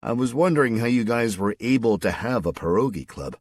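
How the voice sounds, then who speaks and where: A man's voice: medium pitch, expressive, fairly quick, pitched above the performer's own voice, lightly acted. Man reading as a wry, wary everyman.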